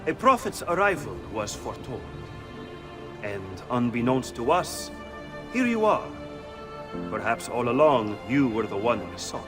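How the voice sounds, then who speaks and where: An actor playing a cerebral, formal Renaissance assassin in a video game, speaking deliberately with an Italian accent. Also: male voice, medium pitch, sing-song, over music. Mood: cryptic, probing. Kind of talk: video game cutscene acting.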